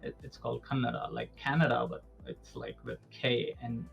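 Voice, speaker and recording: A man's voice: mid-range voice, level tone, normal speed, gravelly, fairly bright tone, faint music behind. A young man with an Indian accent, a native Urdu speaker, explaining things as a guest in a casual interview and often checking with "right?". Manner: explanatory, with repeated "actually" and "right?". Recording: dull lo-fi sound.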